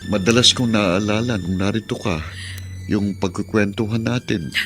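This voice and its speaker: A man's voice: lowish voice, moderate pace, faint music behind. An actor playing a reassuring husband speaking to his wife abroad by phone in a radio drama. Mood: caring. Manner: warm, reassuring. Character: caring husband at home on the phone.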